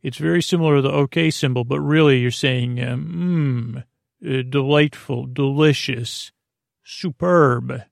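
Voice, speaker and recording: A man with a creaky voice hosting a bedtime podcast, rambling in a halting, goofy, digressive way. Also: mid-range voice, no echo, studio quality. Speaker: podcast host.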